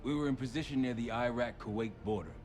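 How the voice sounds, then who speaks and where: A male actor as a cool-headed special operative from a military action game. His mid-pitched voice is terse and matter-of-fact, with a defiant streak toward authority. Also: fast, a little mellow, soft background music, close to an everyday voice. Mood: focused. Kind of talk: cinematic military thriller game acting.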